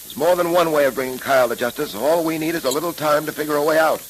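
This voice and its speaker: An actor playing a resolute, law-abiding detective in earnest 1930s radio drama style, on a hissy old recording. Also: male voice, fairly high pitch, lightly acted, low-quality recording. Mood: determined. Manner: firm, earnest, deliberate.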